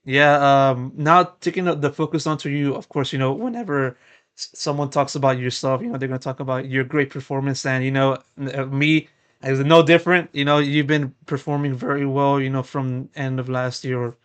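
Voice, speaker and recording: A man's voice: mid-range voice, a little fast, a bit bright, smooth. A young man interviewing a player, asking long, meandering questions full of "you know" and repeated words. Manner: rambling, repeats words, many you-knows. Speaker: esports interviewer.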